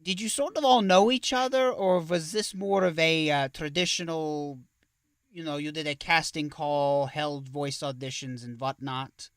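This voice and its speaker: A man performs a vampire count hosting an interview show, courteous yet easily slighted. His phrasing is formal and halting. The voice is bright and sits very dry and close. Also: a little high, fairly even intonation, clear, resonant tone, dry recording. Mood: courteous, inquisitive. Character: male vampire count hosting a talk show, polite, touchy. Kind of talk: in-character podcast interview.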